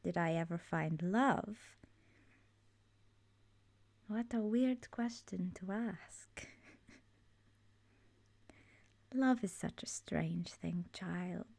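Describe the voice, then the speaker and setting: A woman voicing an ancient, immortal swamp witch in an ASMR roleplay, soft-spoken and often whispery, gently knowing and wistful. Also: fairly low pitch, very slow, gravelly, pauses often, no echo, full character voice. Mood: calm, reminiscing.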